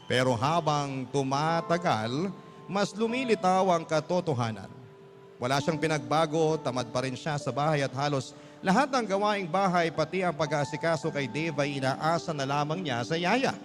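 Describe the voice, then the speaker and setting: A man giving a dramatic scripted narration as a radio storyteller, earnest and sentimental. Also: on the high side. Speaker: radio storyteller reading a listener's letter. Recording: clear music bed under voice. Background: music.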